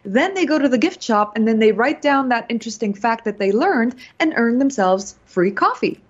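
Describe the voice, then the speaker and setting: A woman with a slightly high voice talks through what she found, explaining things step by step and leaning on plenty of "um" and "uh". Her sound is a little dull and lo-fi, like a modest broadcast line. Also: lively intonation, moderate pace, breathy edge. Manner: explanatory, with frequent fillers. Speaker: reporter. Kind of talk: radio segment chat.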